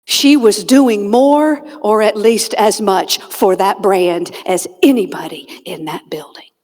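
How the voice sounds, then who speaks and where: A woman's voice: medium pitch, slow pace, a little mellow. Southern woman keynoting in a reverberant hall. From Georgia, US. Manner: dramatic storyteller, drops to a near whisper on key lines, comic asides. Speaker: motivational keynote speaker and comedian. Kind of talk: humorous motivational keynote storytelling. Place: conference hall stage. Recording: long reverb of a large hall.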